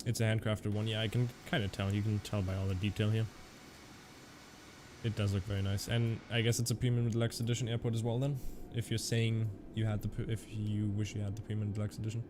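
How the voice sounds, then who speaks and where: A young man from Germany with a mixed Canadian-German accent, talking through a simulator flight and answering chat. His voice sits fairly low, and a steady hiss of noise runs under it from the home setup. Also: quick pace. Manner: chatty, reads chat aloud and answers viewers. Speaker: flight sim streamer. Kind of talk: casual live-stream commentary.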